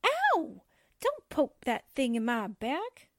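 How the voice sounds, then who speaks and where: Scaredy-cat American gal reporter, played by a woman, 1940s radio comedy-mystery. High, slow, stretched exclamations, close dry sound. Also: huge pitch swings, dry recording, full character voice. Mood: panicky. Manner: comically frightened, drawn-out exclamations. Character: jumpy, wisecracking 1940s newspaperwoman sidekick. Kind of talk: 1940s radio drama acting.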